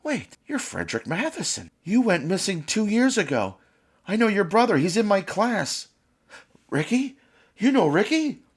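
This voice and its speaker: A man's voice: sits high, very animated, normal speed, slightly rough, pitched above the performer's own voice, exaggerated voice. A man voicing a brave, frightened young girl who speaks urgently and pleadingly. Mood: astonished.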